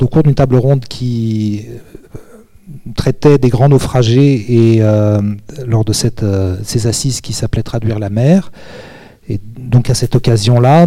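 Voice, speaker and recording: A man's voice: mid-range voice, dark tone, no room sound. Hesitant man moderating an interview. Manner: halting, hesitant, with many pauses and restarts. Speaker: moderator interviewing a guest at a translation conference. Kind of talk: live onstage interview.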